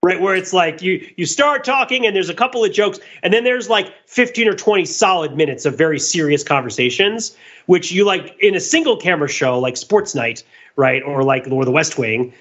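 A man's voice: high voice, lively intonation, normal speed, fairly bright tone, highs cut off. A man co-hosting a podcast in casual discussion, talking discursively and digressing as he searches for words. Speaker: podcast co-host.

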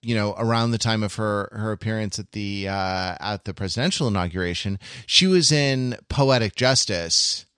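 A man chatting casually as a podcast co-host, speaking in a halting, stop-and-start way as he searches for words. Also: fairly low pitch, fairly bright tone, clean tone, no room sound, studio quality.

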